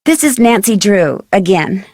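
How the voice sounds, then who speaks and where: A woman's voice: medium pitch, big pitch swings, unhurried, a little mellow, no echo, studio quality. An actress playing a polite, persistent young female detective in a video game, asking questions in an earnest, casual way. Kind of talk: scripted video game voice acting.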